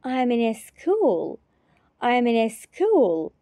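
Slow, careful woman teacher. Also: on the high side, very animated, warm tone, no echo. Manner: repeats each phrase three times, carefully. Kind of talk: slow repetition drill.

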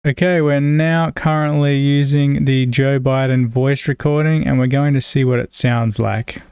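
A man with an Australian accent, giving a casual software tutorial as a voice-over. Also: medium pitch, fairly even intonation, unhurried, smooth, dry recording, clean studio sound. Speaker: tutorial presenter.

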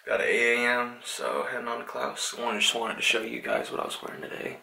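A man's voice: medium pitch, level tone, brisk, gravelly, pushed and bright, poor audio. A young man and student talking casually to a handheld camera in a small bedroom, in a loose, rambling, complaining way. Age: young adult. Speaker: student vlogger. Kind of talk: casual vlog chat to camera. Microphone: handheld camera close to face.

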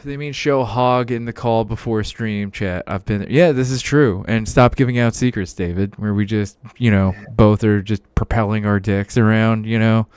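A man's voice: on the low side, steady tone, darkish tone, smooth, dry recording. A man in his thirties co-hosting a livestream from home, bantering and teasing in a joking way. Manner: joking, teasing, quick laughs. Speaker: co-host of a history reading stream. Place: room at home.

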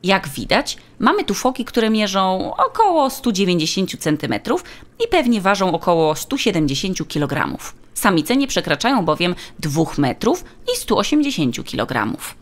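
Woman playing a lively-voiced female tour guide. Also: mid-range voice, moderate pace, pitched above the performer's own voice, close to an everyday voice. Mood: informative. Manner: clear, explanatory, polite. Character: helpful, informative female seal sanctuary tour guide. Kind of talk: children's audiobook character.

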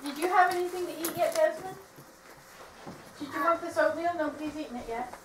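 A woman looking after children, telling a family story and getting the kids into the car, speaking warmly in a home kitchen. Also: sits high, fairly quick. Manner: warm, storytelling, motherly. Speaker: caregiver getting the kids ready. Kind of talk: casual family chat. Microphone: camera resting on a counter, far off. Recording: roomy, distant, noisy background.

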